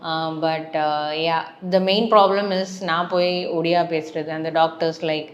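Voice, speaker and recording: A woman's voice: low voice, steady tone, very fast, echoey room, dull audio. A young woman from Chennai with a South Indian accent, speaking candidly and reflectively as she tells a personal story in a casual vlog. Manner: switches between English and Tamil, candid and reflective. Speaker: vlogger.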